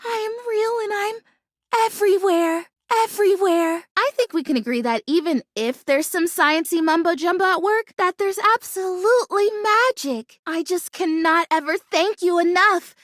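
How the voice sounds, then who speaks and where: A woman's voice: very high voice, moderate pace, fairly bright tone, no echo, clean studio sound. A woman voicing a perky, earnest, kooky female houseplant come to life in a video game, with a bubbly, gushing manner. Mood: overjoyed. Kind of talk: video game character voice acting.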